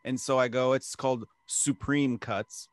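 A man's voice: mid-range voice, steady tone, a little slow, no echo. A man co-hosting a movie podcast, chatting casually and jokingly, often slipping into silly put-on accents. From American Midwest. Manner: jokey, puts on silly accents. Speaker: podcast co-host. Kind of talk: casual chat.

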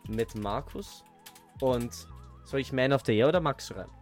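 A man hosts a casual podcast in a medium-pitched voice. He is playful, slipping between mock intro reading and jokey, sweary asides. Eerie ambient music plays behind him. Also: moderate pace. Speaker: podcast host.

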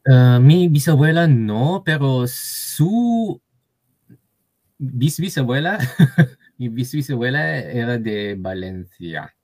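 A man from Taiwan with a Taiwanese accent, a guest speaking Spanish as a learner, halting with many fillers and restarts. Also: mid-range voice, lively intonation, a little mellow, dry recording. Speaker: interview guest. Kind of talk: casual conversation.

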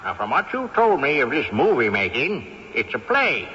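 A crotchety old great-grandfather's ghost, haughty and sly, his voice bright and swinging widely in pitch. He chuckles to himself as he schemes. It sounds like a dull old radio broadcast with a long reverb. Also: wildly swooping pitch, moderate pace. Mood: smug. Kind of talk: 1970s radio drama acting.

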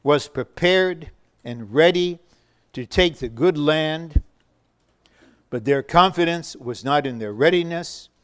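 Male preacher, sermon, smooth clear voice, measured, emphatic. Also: a little high. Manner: measured and emphatic, with bursts of shouting.

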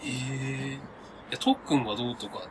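A man's voice: mid-range voice, steady tone, moderate pace, background noise. A man co-hosting a podcast in casual chat, inquisitive and often reacting with questions. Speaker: podcast co-host. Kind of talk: casual chat among friends.

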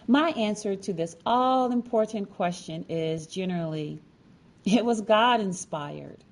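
A woman narrates her own prepared story as a featured storyteller. Her voice sits a little low, and her phrasing is formal and measured. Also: darkish tone. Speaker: featured storyteller and sign language educator. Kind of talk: scripted personal narration.

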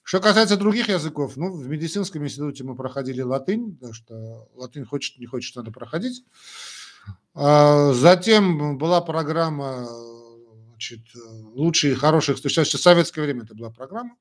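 Chatty older doctor, higher voice. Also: male voice, expressive, brisk. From Armenia. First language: Armenian. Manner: blunt, talks in short emphatic phrases with many fillers. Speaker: doctor answering viewer questions. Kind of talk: live-stream Q&A, frank and chatty.

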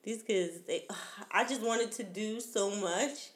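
A woman's voice: mid-range voice, takes it slowly. Echoey woman in casual, slangy banter. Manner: slangy, playful bantering comebacks. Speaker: podcast co-host. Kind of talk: casual chat. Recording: roomy, echoing sound.

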